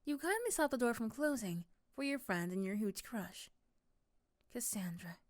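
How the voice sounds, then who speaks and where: A woman's voice: a little high, expressive, normal speed, no room sound, clean studio sound. A woman reading scripted second-person narration for an ASMR roleplay story.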